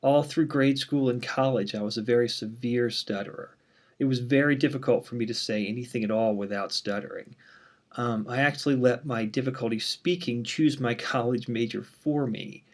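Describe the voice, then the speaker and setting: A university instructor, a man in his thirties, with a voice of ordinary middle pitch. He talks casually and candidly, often pausing on "um", and now and then catches briefly on a word. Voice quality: mild stutter. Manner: relaxed, frequent "um" fillers, candid. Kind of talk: casual course introduction.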